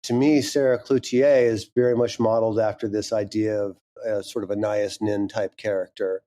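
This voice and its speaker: A man who writes audio drama, talking candidly and reflectively as an interview guest, sometimes in quick bursts. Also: lowish voice, little pitch movement, dark tone.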